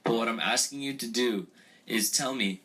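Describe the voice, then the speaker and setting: A man's voice: mid-range voice, moderate pace, bright, forceful tone, husky, rough recording. A young man playing an earnest young man who questions others in a student radio play. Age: young adult.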